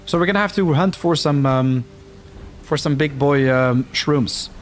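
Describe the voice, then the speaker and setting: A man's voice: on the high side, a little slow, a little hiss or hum. A man from the Netherlands with a Dutch-Flemish accent, chatting casually as he streams a game live, reacting to the action and reading viewer comments aloud.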